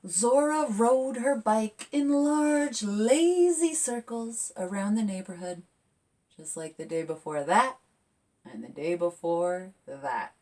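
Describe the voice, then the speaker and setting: A woman is doing a storytime for children, speaking as the author of the book. Her pace is unhurried and her pitch moves up and down animatedly as she draws young listeners in. The voice sounds somewhat distant from the microphone. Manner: expressive, addressing young listeners with questions. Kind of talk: storytime talk and picture book read-aloud for children.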